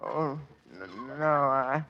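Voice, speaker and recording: A man's voice: mid-range voice, expressive, slow pace, dull audio. A man playing a coy, vain, timid cadet in a 1940s radio comedy. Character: prissy, vain, timid male cadet sidekick. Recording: faintly noisy 1940s radio recording.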